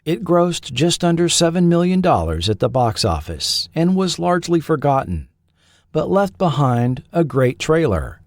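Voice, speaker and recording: Man, documentary host, scripted narration, animated pitch, close dry sound. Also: measured pace, no echo.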